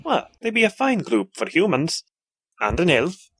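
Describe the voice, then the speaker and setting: A woman voicing a gruff, plain-spoken male dwarf cleric with a husky voice in a comic cartoon dub. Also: lowish voice, very animated, measured pace, no echo, clean studio sound, exaggerated voice. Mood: gruff, guarded. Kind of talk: animated comic fandub acting.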